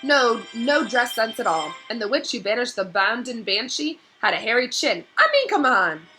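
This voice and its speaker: A vain middle-aged wizard professor from a storybook, acted by a woman, pitched up and projected brightly. He blusters and hems, pompous and slippery in every phrase. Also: very animated, normal speed, faint music behind, poor audio.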